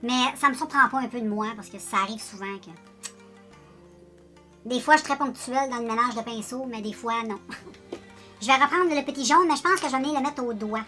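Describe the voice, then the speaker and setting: Chatty high bright Quebec woman. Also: brisk, pauses often, soft background music. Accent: Quebec French. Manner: chatty, rambling, self-deprecating, talks directly to viewers. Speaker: beauty vlogger. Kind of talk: casual makeup tutorial chat.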